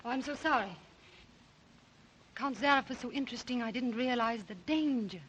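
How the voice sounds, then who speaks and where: A woman's voice: a little high, moderate pace, fairly bright tone, close to an everyday voice. An actress playing a polite, curious lady guest in an old 1930s drama style, on a noisy old soundtrack. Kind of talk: 1930s film-era drama acting.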